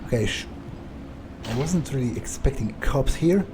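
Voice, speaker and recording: A man playing a wary, foul-mouthed vampire in an improvised video game roleplay, thinking aloud in halting, muttered asides. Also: mid-range voice, expressive, noisy background, natural voice. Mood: wary, musing. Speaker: gamer in an in-character playthrough.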